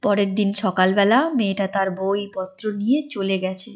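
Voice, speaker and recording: A woman's voice: medium pitch, fairly even intonation, normal speed. A woman reading scripted storybook narration for a cartoon as its narrator. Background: music.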